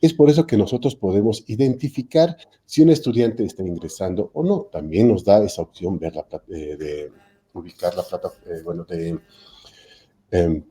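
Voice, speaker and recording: A man's voice: lowish voice, dark tone. A man, a technical institute lecturer explaining online teaching in a panel talk, hesitating with frequent fillers and self-corrections over a video call.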